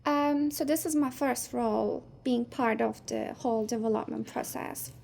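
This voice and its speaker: A woman in her thirties whose first language is Turkish, speaking as a guest in a casual interview, soft-spoken and thoughtful with frequent pauses. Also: on the high side, fairly even intonation, vocal fry. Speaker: guest, game producer.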